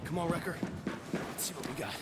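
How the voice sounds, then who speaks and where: An actor playing an alert American soldier in a military shooter game, talking in clipped squad banter. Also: male voice, a little high, animated, fairly quick, very noisy, close to an everyday voice. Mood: alert, businesslike. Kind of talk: video game voice acting. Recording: loud music, roomy game audio.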